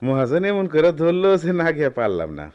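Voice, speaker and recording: A man playing an ageing, gentle village goldsmith and worried father in a Bengali radio drama, weary and reflective, with a rural Bangladeshi accent. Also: on the high side, very animated, quick pace, lo-fi sound. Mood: tired, fond. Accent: rural Bangladeshi dialect.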